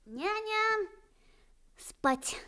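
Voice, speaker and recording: A woman's voice: extremely high pitch, animated, very slow, pushed and bright, full character voice. A woman playing an earnest, childlike little boy in a Soviet radio play. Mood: sleepy, dutiful. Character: earnest little boy Vasya, an admiral's son.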